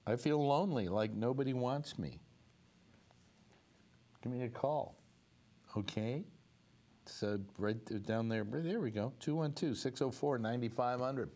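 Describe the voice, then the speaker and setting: A man's voice: mid-range voice, measured pace, pauses often, mellow. An older man hosting a talk show from a studio set, chatting in a wry, rambling way with many fillers. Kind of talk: casual talk show banter.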